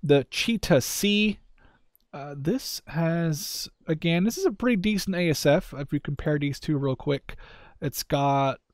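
A man's voice: fairly high pitch, expressive, measured pace, no echo, studio quality. A man hosting a gaming video with casual, rambling and self-deprecating commentary in an informal style.

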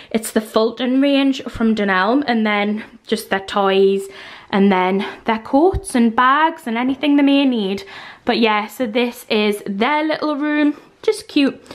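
A young woman with a Geordie accent and a fairly high-pitched voice. She talks in a chatty, informal way, like a vlogger showing viewers around on a handheld camera. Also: dry recording. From Newcastle, North East England. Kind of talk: casual house tour narration. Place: walking through a house and garden. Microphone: handheld camera, held by speaker.

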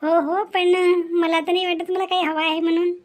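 Woman voicing sweet, very high-pitched young wife. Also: level tone, normal speed. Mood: meek, hesitant. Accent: Marathi of Maharashtra. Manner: gentle, deferential. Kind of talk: cartoon family drama voice acting.

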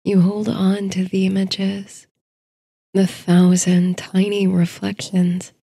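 A woman narrating a bedtime story and guided meditation in a soothing, hushed, softly breathy voice. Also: mid-range voice, monotone, dry recording, studio quality. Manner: soothing, hushed, unhurried delivery. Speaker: host and narrator of a sleep story series.

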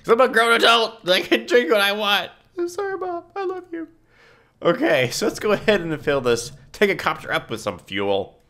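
Congested young man with a lively voice. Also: high voice, normal speed. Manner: rambling, self-correcting, giggly. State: congested, nasal from a stuffy nose. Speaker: gaming YouTuber. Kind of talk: casual gameplay commentary.

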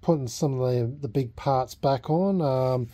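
An Australian man talking casually and unscripted in a workbench vlog, halting and thinking aloud as a hobbyist. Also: mid-range voice, mellow. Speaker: hobbyist vlogger restoring a vintage radio. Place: small indoor home workshop at a bench.

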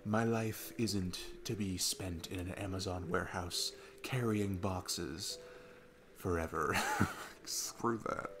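A man's voice: deep voice, steady tone, takes it slowly, background noise. A young man playing an easygoing, earnest divorced dad on a date, chatting in a casual, rambling ASMR roleplay style. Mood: relaxed, musing. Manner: rambling, informal, chuckling often. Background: music.

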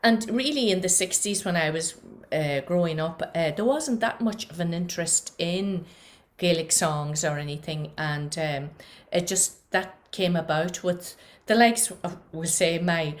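Woman, middle-aged, Donegal accent, native Irish speaker, lowish voice, chatty, video call. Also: unhurried. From northwest Donegal, Ireland. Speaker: singer, guest artist. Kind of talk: casual introduction on a video call. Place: home room with a harp behind. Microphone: headphones on a video call.

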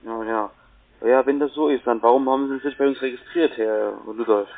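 A man's voice: mid-range voice, steady tone, brisk. Man on a tinny phone line. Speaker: call-centre phone caller. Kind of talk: unscripted sales call.

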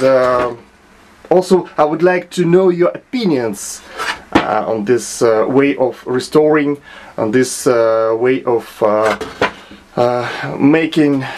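Slow halting man with a Russian accent. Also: mid-range voice, slight background noise. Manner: halting, many filler sounds and restarts. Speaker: hobbyist restorer. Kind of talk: hesitant show-and-tell narration. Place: small home workshop.

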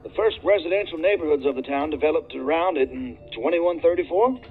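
Chatty corporate contact: polite man, high-pitched, tinny phone-line sound. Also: a little fast. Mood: courteous, chatty. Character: courteous, talkative male corporate research contact. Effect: phone or intercom filter. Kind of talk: naturalistic audio drama acting.

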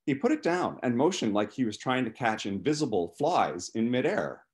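A man in his fifties doing an animated first-person reading from his novel over a video call. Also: mid-range voice, normal speed. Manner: animated, acts out dialogue. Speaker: author reading from own novel. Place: small living room, indoors. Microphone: video call, computer microphone.

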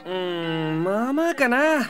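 A man playing a sardonic, put-upon high school boy in an audio drama, speaking casually and expressively. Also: sing-song, a little slow, over music. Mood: exasperated. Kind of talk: Japanese audio drama acting.

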